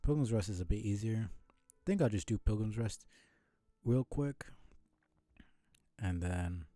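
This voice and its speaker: A man streaming a video game with casual commentary, musing and thinking aloud in a low-key way. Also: animated, unhurried, mellow, no echo, soft background music.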